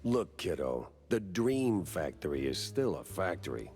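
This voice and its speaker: An actor playing a world-weary, wisecracking mouse private detective in a 1930s noir cartoon game, gruff and deadpan. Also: male voice, low voice, measured pace, faint music behind. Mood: dry, weary. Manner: deadpan, sardonic.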